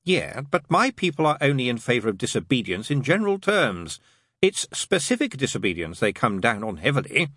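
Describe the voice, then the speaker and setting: Storybook demon, male, read by a male narrator: glum, sardonic persuader, husky, colloquial and needling. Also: mid-range voice, very animated, a little fast, fairly bright tone, dry recording, studio quality, voice pushed up. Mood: glum, wry. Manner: colloquial, needling, emphatic lists.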